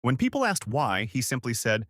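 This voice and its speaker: A man's voice: mid-range voice, very animated, moderate pace, a bit bright, no room sound, clean studio sound. A man narrating a scripted story as a storyteller.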